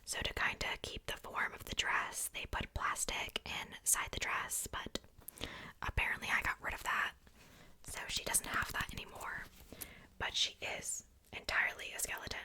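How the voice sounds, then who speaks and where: Woman whispering bright, breathy ASMR. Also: moderate pace. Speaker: ASMR video creator. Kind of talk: whispered ASMR, casual show-and-tell.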